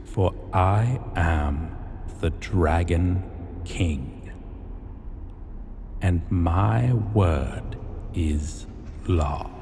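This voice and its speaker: A man playing an imperious, possessive dragon king in an ASMR fantasy roleplay, seductive and menacing, with a formal, commanding and deliberate delivery. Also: low-pitched, mellow, exaggerated voice. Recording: long cavernous reverb, clear background noise.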